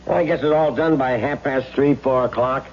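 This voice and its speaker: An actor playing a talkative working-class garage attendant in a 1970s radio drama, folksy and wisecracking. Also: male voice, mid-range voice, steady tone, normal speed, lightly acted. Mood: breezy, cheeky. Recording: dull vintage radio recording, faint hum.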